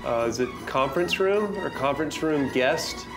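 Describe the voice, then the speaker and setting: A man's voice: on the high side, expressive, normal speed. Exasperated American office guy in his thirties, literal-minded sketch comedy, music underneath. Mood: earnest. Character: exasperated, literal-minded male office worker fumbling with technology. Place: conference room.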